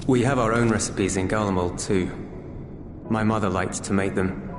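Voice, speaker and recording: A man's voice: on the low side, fairly even intonation, normal speed, lightly acted. An actor playing a reserved, kindly soldierly man in a video game cutscene, speaking in a hesitant, earnest, conversational way. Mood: friendly. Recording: roomy echo with music underneath.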